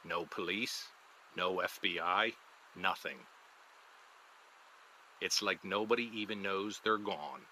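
An actor playing an ominous, secretive neighbor in an audio drama, talking in short deliberate phrases. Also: male voice, low-pitched, sharp tone, slight background noise, full character voice, poor audio. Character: ominous, guilt-ridden male neighbor with secrets.

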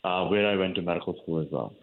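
A man's voice: sits low, fast, vocal fry, narrow, tinny audio. A man from India, a cardiologist, explaining his work in an interview, with many fillers and restarts. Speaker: cardiologist guest. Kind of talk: interview answers.

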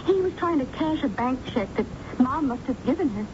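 An actress playing an earnest, anxious young daughter, halting and emotional, in a 1970s radio drama. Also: female voice, high-pitched, expressive. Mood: uneasy, hesitant. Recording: muffled, noisy 1970s radio broadcast.

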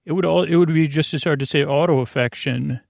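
Gravelly guy doing a goofy sleep podcast ramble, keeps losing his thread mid sentence, really dry close sound. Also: fairly high pitch, darkish tone, no room sound. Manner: meandering, halting, goes off topic mid-sentence; goofy, self-deprecating. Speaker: podcast host.

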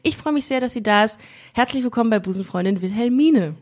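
A woman's voice: fairly high pitch, expressive, fast talker, darkish tone. A woman in her thirties hosting a podcast in casual interview chat, with a joking, self-deprecating manner. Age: 30s. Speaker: podcast host.

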